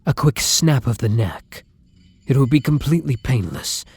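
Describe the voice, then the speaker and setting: Man playing a breathy, tender, anguished vampire. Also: medium pitch, sing-song, no room sound. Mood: desperate devotion. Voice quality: soft, breathy, often near whisper. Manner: slow, formal, brooding, dramatic phrasing. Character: tender, anguished male vampire, lovesick and guilt-ridden. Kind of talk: intimate ASMR roleplay monologue. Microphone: close, dry microphone.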